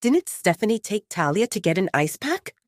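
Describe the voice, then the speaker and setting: A woman's voice: medium pitch, very animated, moderate pace, dry recording, studio quality. A woman narrator telling a story in a dramatic audiobook style.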